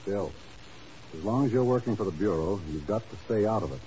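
An actor playing a worried, gruff intelligence bureau chief in a 1950s radio drama. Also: male voice, lowish voice, animated, a little fast, mellow, lightly acted. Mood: firm, reluctant. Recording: dull, hissy 1950s radio recording.

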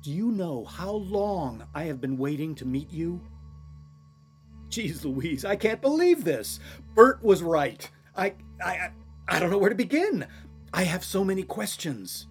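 An excitable, awestruck character voiced by an actor delivers a radio play monologue. The delivery is slow and halting, with lively swings in pitch and repeated exclamations, over light music. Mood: overwhelmed, disbelieving. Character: excitable awestruck person meeting someone long awaited.

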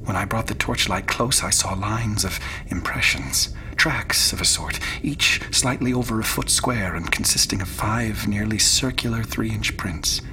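An actor playing a haunted explorer in a radio horror drama, narrating a terrifying ordeal with intense, deliberate and sometimes hushed phrasing. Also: male voice, fairly low pitch, poor audio. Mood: hushed dread. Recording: clear background noise and ambience.

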